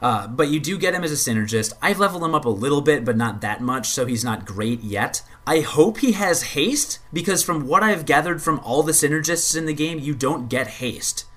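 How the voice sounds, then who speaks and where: A man's voice: fairly high pitch, expressive, moderate pace, hardly any pauses, a bit bright. A man co-hosting a casual gaming podcast, rambling and stammering with repeated words, and swearing when annoyed. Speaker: podcast co-host.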